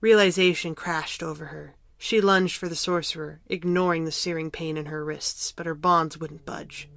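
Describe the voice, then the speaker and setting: A woman's voice: low voice, fairly even intonation, moderate pace, creaky, fairly bright tone, no echo, studio quality. A woman narrator reading scripted fiction for an audio drama.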